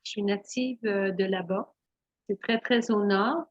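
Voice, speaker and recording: A middle-aged Franco-Ontarian woman tells her story as an interview guest, searching for words, full of small restarts and soft fillers. The sound is dull and muffled, a lo-fi recording with a dry, close feel. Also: medium pitch, unhurried, dry recording. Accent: Franco-Ontarian French. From Northern Ontario, Canada. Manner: many fillers and restarts, simple and candid. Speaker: guest, naturopath. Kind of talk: hesitant conversational storytelling.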